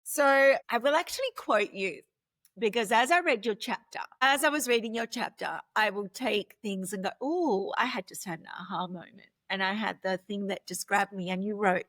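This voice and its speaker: An Australian woman hosts a relaxed interview, gushing with eager enthusiasm. Her voice sits at a middle pitch and sounds close and dry. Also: normal speed, dry recording. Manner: effusive, enthusiastic. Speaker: podcast host. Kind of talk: casual interview conversation.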